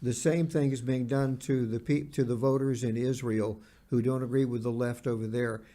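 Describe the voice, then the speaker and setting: A man co-hosting a podcast, talking in an earnest, halting way and repeating words for emphasis. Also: medium pitch, steady tone, dark tone. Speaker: podcast co-host. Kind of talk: conversational podcast discussion.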